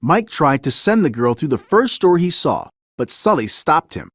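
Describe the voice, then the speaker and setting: A man narrates a children's storybook in an expressive, dramatic way. His fairly high voice swings freely up and down, and the sound is close and dry. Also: normal speed, dry recording. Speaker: storybook reader. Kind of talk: children's story read-aloud, scripted narration.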